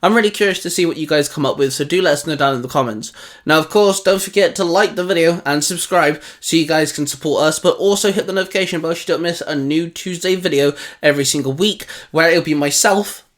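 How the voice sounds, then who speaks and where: A young man chatting about comics and ideas, his voice pitched slightly above the usual male range. He rambles, restarting sentences and leaning on fillers as he thinks aloud. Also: quick pace, a bit bright. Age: young adult. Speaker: channel co-host. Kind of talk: casual geeky chat.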